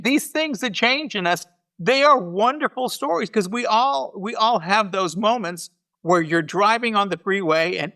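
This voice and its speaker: An American man with a high-pitched, bright voice speaks as a guest in a casual interview. He is reflective, halting and doubling back on words while he works out a thought. His delivery is that of someone explaining his craft in conversation. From United States. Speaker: podcast guest, storyteller and show organizer.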